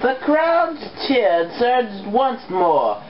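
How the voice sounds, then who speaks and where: An amateur co-reader narrating fan fiction aloud in a deliberate, halting storybook style with swooping emphasis. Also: mid-range voice, big pitch swings. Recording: dull lo-fi mic with background noise.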